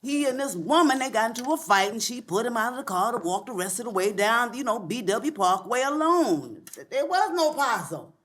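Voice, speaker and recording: An American woman playing a blunt, sharp-tongued older grandmother and family matriarch in a live comedy script reading. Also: on the high side, animated, moderate pace, hardly any pauses. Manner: blunt, sassy, theatrical. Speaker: actor in a live sitcom table read.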